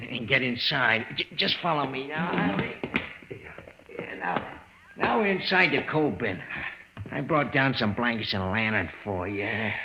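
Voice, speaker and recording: An actor in an old-time radio drama playing a slow-witted, eager, servile night watchman who stammers and repeats his words. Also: medium pitch, vocal fry, noisy background. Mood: eager, simple. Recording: dull lo-fi old radio recording.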